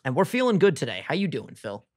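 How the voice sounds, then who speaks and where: A man co-hosting a podcast in casual banter, his voice a little hoarse, joking and teasing and swearing freely. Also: a little high, wildly swooping pitch, quick pace, dry recording, clean studio sound. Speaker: podcast co-host.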